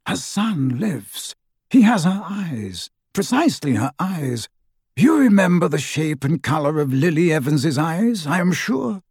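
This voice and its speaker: A man voicing a calm, stern old headmaster wizard in an audiobook, measured, wise and coolly reproving. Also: on the high side, slightly rough, dry recording, clean studio sound, voice pushed up, full character voice. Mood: pointed, grave. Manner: measured, cool, gently reproving.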